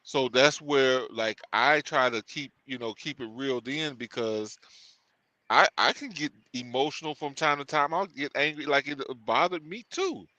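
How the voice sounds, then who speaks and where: A man's voice: medium pitch, normal speed, pushed and bright, no room sound, lo-fi sound. A man co-hosting a casual talk show, rambling with many fillers and restarts as he works out his view. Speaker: podcast co-host. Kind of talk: casual discussion.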